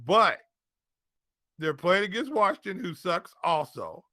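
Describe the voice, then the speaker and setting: A man's voice: sits high, sing-song, measured pace, bright, forceful tone, dry recording, studio quality. A man co-hosting a casual sports chat, giving picks in informal, slangy talk and repeating phrases for emphasis. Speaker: fantasy football podcast co-host.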